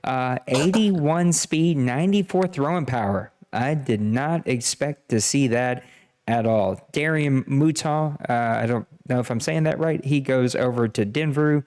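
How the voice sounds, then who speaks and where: A man's voice: mid-range voice, measured pace, a little mellow. Man reading draft picks on stream. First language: Cajun French. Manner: reads player stats, self-deprecating jokes. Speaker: podcast host running a draft. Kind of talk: casual live-stream commentary.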